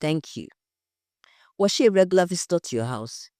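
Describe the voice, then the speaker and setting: A woman's voice: on the low side, lively intonation, brisk, a little mellow, dry recording, studio quality, natural voice. A woman voicing a composed, direct female investigator in an audio story. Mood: probing. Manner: direct, questioning.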